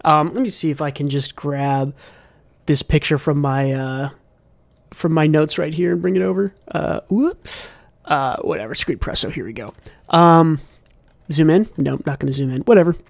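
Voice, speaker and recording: A man with a slightly high-pitched voice hosts a casual podcast. He talks in a rambling, enthusiastic fan's way. The sound is close and dry, with little room to it. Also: normal speed, vocal fry, dry recording. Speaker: podcast host. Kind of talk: casual manga podcast chat.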